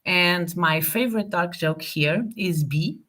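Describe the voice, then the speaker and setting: A woman's voice: fairly low pitch, slow pace, sharp tone. A woman from Portugal moderating an online group discussion on a video call, speaking in a hesitant, thoughtful way with many fillers.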